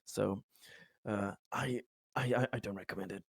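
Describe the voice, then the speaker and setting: A man's voice: on the low side, steady tone, normal speed, vocal fry, husky, dry recording, studio quality. A man playing a tabletop roleplaying game, improvising conversation in a hesitant, dryly humorous way with repeated words.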